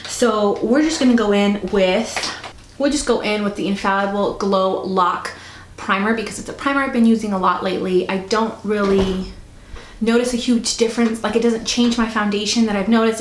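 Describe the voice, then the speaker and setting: A woman with a cold talking casually through a makeup review, chatty and soft-spoken, sometimes close to a whisper. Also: mid-range voice, moderate pace. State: stuffy, fighting a cold. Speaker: beauty vlogger. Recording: echoey room, faint noise.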